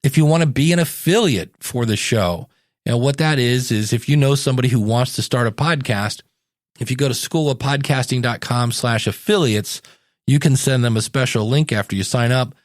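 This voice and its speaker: A man's voice: medium pitch, moderate pace, dry recording, clean studio sound. A man hosting a podcast in a casual solo monologue, talking directly to his listeners in a conversational way. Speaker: podcast host and podcasting coach.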